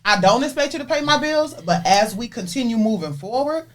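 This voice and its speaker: A woman's voice: a little high, expressive, brisk. A woman podcast guest talking frankly and emphatically about relationships. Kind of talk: casual chat.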